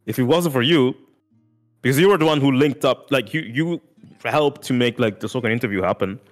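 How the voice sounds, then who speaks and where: A man's voice: mid-range voice, a little fast, soft background music. A man guest on a podcast, talking casually and earnestly, throwing in "dude" and "like" a lot. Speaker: music content creator guest.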